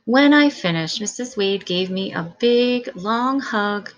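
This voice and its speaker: A woman's voice: medium pitch, expressive, takes it slowly, muffled recording. A woman reading a children's story aloud as scripted narration.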